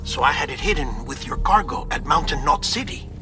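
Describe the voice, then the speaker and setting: A man playing an earnest, secretive character in a video game, confiding gravely and carefully as if leaving a private message. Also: on the high side, expressive, brisk, lightly acted. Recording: prominent music behind the voice.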